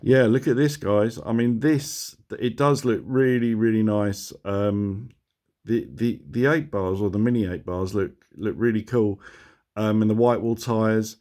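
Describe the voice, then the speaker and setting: A man's voice: fairly low pitch, fairly even intonation, measured pace, a little mellow. A middle-aged man with a sore throat, chatting casually to his phone camera about motorcycles, rambling and chuckling at his own asides. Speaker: motorcycle vlogger.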